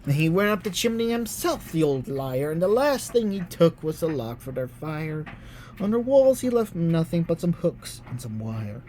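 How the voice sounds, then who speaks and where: A narrator giving a theatrical, expressive read-aloud of a rhyming children's story. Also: medium pitch, normal speed, a little hiss or hum.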